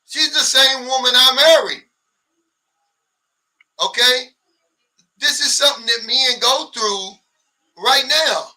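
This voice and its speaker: A man's voice: very high voice, sharp tone, recorded from a distance, low-quality recording. A man in his sixties hosting a casual livestream, reading viewer comments aloud and answering them bluntly, sometimes mimicking others' complaints. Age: 60s. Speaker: livestream host.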